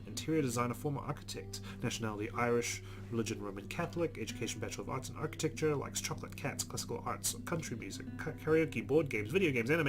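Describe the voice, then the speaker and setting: A man reading a visual novel aloud as a let's play host, adding casual commentary. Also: medium pitch. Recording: background guitar music. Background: game music with plucked guitar.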